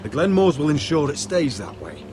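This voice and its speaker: A man's voice: fairly high pitch, huge pitch swings, moderate pace, a little mellow, a little hiss or hum. A man playing a resolute young lord in a dramatic fantasy game, grave and decisive, speaking in firm, terse lines. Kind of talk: video game dramatic acting.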